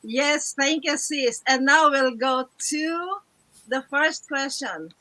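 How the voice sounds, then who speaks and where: Woman hosting an online panel, high bright voice, calls everyone sis. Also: takes it slowly. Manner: formal, addresses guests as sis. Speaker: host.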